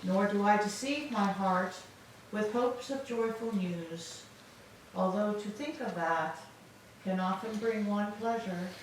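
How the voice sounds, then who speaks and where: A woman playing a proud, despairing young widow held captive, speaking in formal, measured declamation in a staged reading of Greek tragedy. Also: mid-range voice, close to an everyday voice. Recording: echoey hall, distant mic, faint noise.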